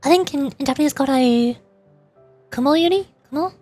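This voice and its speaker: A woman's voice: sits high, fast talker, darkish tone, soft background music. A woman livestreamer chatting casually over a game in a rambling, playful way. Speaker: livestreamer, VTuber. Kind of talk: casual chat while gaming, answering live chat.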